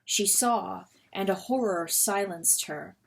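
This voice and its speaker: A woman reader gives a dramatic storybook narration at a medium pitch. Her delivery is expressive and suspenseful. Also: slow, vocal fry. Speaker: audiobook reader.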